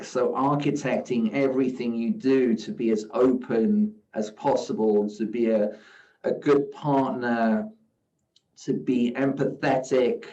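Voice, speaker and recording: A middle-aged man from South Africa, a guest investor giving measured, reflective interview answers and often saying "you know", at a live event. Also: medium pitch, dark tone. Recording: roomy, distant, dull sound.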